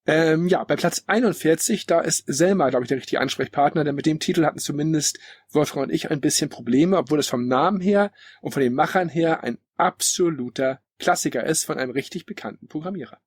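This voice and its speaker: A man talks rapidly in a somewhat rough, husky voice as host of a casual retro gaming discussion. He is blunt and opinionated. The sound is dry, with no room on it. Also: a little high, dry recording. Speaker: podcast host. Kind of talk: casual critical discussion of retro video games.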